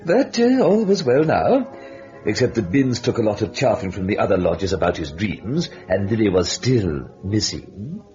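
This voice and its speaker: An actor playing a gleeful, mocking devil who narrates an old-time radio horror tale in a theatrical, sardonic way. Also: male voice, on the low side, sing-song, moderate pace, warm tone. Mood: mock sympathy. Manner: theatrical, sardonic, chatty with the listener. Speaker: host and storyteller. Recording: dull lo-fi old radio recording, music behind.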